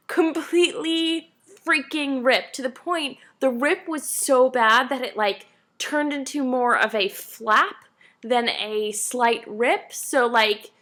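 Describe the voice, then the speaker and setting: A woman's voice: on the high side, sharp tone. A woman in her late twenties, a tired vlogger chatting casually and self-deprecatingly to camera. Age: 20s.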